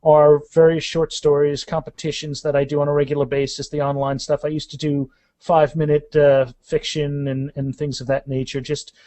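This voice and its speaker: Higher-pitched man chatting casually in an interview. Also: little pitch movement, normal speed, dark tone, no echo. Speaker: author interviewed as podcast guest. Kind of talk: casual interview chat with anecdotes.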